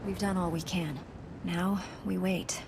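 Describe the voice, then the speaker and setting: An actress playing a calm, self-assured warrior heroine in a video game, speaking in a composed, measured way. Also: female voice, fairly low pitch, creaky, background noise.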